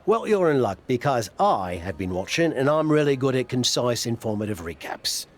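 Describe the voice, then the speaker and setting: A chatty male sidekick talks in a lively, swooping mid-range voice. He sounds eager and pleased with himself, as a character in a video game cutscene. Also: medium pitch, normal speed.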